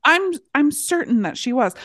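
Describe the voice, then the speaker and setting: Lively high-voiced woman, dry close sound. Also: normal speed, no echo. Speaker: podcast co-host. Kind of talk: casual film discussion chat.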